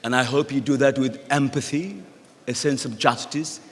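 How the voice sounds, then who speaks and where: A middle-aged man with a Bangladeshi accent addresses an awards ceremony in a large reverberant hall. His voice is mid-pitched, and he speaks in measured, halting phrases, encouraging his listeners as a guest speaker. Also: fairly bright tone. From rural Bangladesh. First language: Bengali. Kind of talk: motivational speech at an awards ceremony. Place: large indoor event hall. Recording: echoey hall with long reverb.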